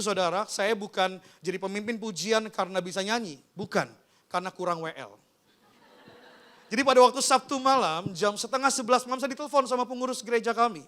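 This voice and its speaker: High, bright-voiced male pastor. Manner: informal storytelling, often addressing listeners directly. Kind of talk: personal testimony in a sermon, addressing a congregation.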